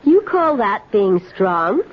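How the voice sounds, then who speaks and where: An actress playing a forceful young girl in a radio drama, bossy, taunting and self-assured. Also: mid-range voice, very animated, slow pace. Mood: pushy, self-assured. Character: forceful, brazen young girl who moves in uninvited. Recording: dull, noisy old radio broadcast.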